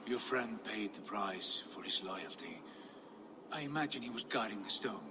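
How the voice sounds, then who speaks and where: A man's voice: fairly low pitch, lively intonation, moderate pace, close to an everyday voice. An actor playing an imperious Viking lord convinced of his own divinity, with a grandiose, commanding manner in a dramatic video game. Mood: bitter. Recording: clear ambient noise behind.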